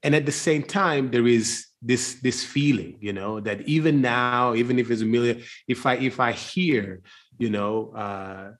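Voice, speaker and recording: A man's voice: mid-range voice, a bit bright. Male, mid-range voice. Thinks aloud in conversation, circling and restarting as he searches for the point. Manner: searching, halting, circling around his point. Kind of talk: reflective conversation.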